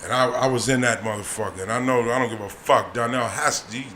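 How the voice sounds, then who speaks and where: A man telling a profane comedy story on a podcast, loud and animated, slipping into voices of the people in it. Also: mid-range voice, quick pace. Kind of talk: profane storytelling, casual chat. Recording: echoey room with faint noise.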